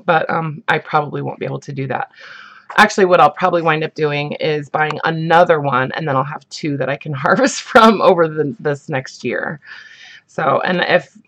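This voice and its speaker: A woman with a husky morning voice, chatting casually as she shows off craft supplies, rambling with lots of ums and likes. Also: deep voice, normal speed, fairly bright tone. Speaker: craft vlogger. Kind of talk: casual show-and-tell chat.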